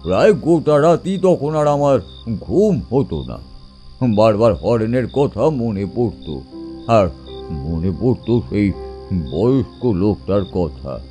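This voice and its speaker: A man's voice: lowish voice, expressive, takes it slowly, dark tone, soft background music. A man narrating a horror story dramatically as its storyteller.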